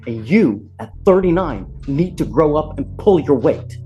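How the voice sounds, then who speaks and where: A man's voice: a little high, animated, measured pace, warm tone, highs cut off. A man with an American accent speaking as a judge in a courtroom, stern, blunt and colloquial as he addresses people from the bench. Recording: courtroom audio with background music.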